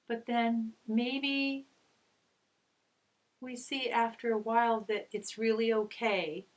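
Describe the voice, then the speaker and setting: A woman's voice: medium pitch, fairly bright tone, rough recording. A middle-aged woman reading aloud to children as a storyteller and teacher, using simple phrases and coaxing questions. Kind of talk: children's read-aloud with gentle guided discussion.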